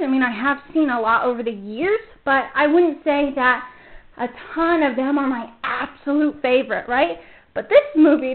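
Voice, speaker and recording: A woman hosting a casual solo fan podcast, talking in a gushing, enthusiastic fangirl manner. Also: sits high. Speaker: podcast host. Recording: muffled phone-like narrow-band sound.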